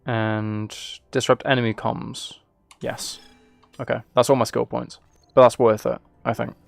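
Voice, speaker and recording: Casual gravelly-voiced man streaming a game. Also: medium pitch, flat delivery, measured pace, no echo. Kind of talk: casual live gameplay commentary. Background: video game music.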